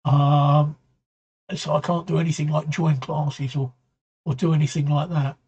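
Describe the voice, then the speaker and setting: A man hosting a casual livestream chat, his voice going hoarse, speaking haltingly, repeating words and often clearing his throat. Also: fairly high pitch, little pitch movement, a little mellow, highs cut off. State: voice getting hoarse. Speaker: livestream talk show host. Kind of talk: casual livestream chat answering viewer comments.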